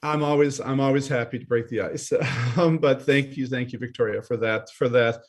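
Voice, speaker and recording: A man speaking as a panelist on a video call, giving a fluent business pitch with some repeated starts. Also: steady tone, moderate pace. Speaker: venture capital partner, panelist.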